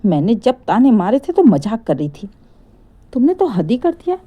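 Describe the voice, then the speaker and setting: A woman's voice: a little high, big pitch swings, normal speed, mellow. A woman voicing a proud older mother-in-law in a family story, bossy, defensive and scolding. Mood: indignant.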